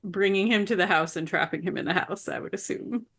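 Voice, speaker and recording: A woman's voice: medium pitch, a little fast, fairly bright tone, slightly rough. A woman co-hosting a podcast, chatting casually and informally about a book. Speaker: podcast co-host.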